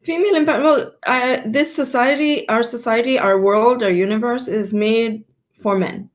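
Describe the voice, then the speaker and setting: A woman in her fifties, born in Pakistan, answering interview questions on a video call, often pausing to rephrase her sentences. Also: on the high side. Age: 50s. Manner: thoughtful, stops to rephrase mid-sentence. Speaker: guest entrepreneur.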